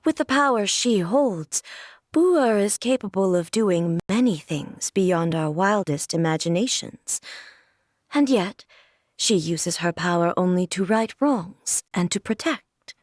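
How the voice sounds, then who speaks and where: A woman's voice: medium pitch, expressive, no echo, studio quality. A woman playing a stern, regal deity ruler in a video game, speaking in a formal, composed, stately way. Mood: reverent, calm. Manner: formal, stately, measured delivery. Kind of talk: scripted video game character voice lines.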